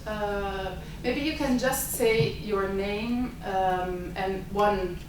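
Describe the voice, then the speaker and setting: A woman's voice: medium pitch, drawn-out words. Italian-native woman host, hesitant delivery with fillers, recorded from afar in a noisy reverberant seminar room. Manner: hesitant, many filler sounds. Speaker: symposium host and lab director. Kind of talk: opening remarks at an academic event. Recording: echoey lecture room, mic far off.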